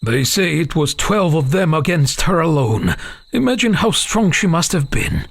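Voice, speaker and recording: A man's voice: on the high side, sing-song, normal speed, creaky, full character voice. A man playing an uneasy half-elven father who tells a dramatic tale to his young daughter in a fantasy audio drama. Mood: dramatic storytelling. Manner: hesitant openings, dramatic storytelling.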